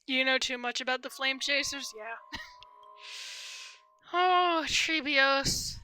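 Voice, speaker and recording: A woman's voice: sits high, slow, bright, forceful tone. A woman streamer giving casual live reactions to a story game, candid and teasing as she talks back to the characters. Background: game music.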